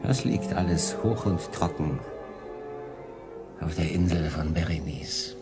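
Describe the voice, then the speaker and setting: A very low male voice plays a weary castaway sailor in a radio drama. He broods as he recounts his ordeal, with music underneath. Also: slow. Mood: weary, brooding. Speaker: narrator and main character. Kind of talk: German radio drama monologue narration.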